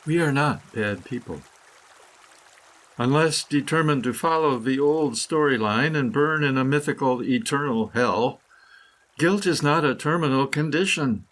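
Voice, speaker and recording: Man, medium-pitched voice, slow pace, solemn, deliberate dramatic phrasing, scripted prose-poem narration. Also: fairly bright tone. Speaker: author reading own essay.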